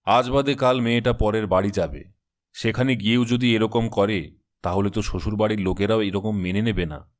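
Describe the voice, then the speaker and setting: A man's voice: lowish voice, normal speed, lightly acted. A man voicing a serious, concerned middle-aged father in a cartoon, grave and earnest. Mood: worried. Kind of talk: cartoon story acting.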